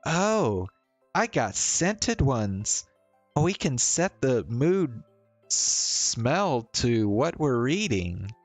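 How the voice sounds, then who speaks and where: A man's voice: mid-range voice, very animated, drawn-out words, dry recording, soft background music, full character voice. A man voicing a friendly, bookish young woman in a visual novel, reading her lines like an eager, teasing storyteller. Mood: playful.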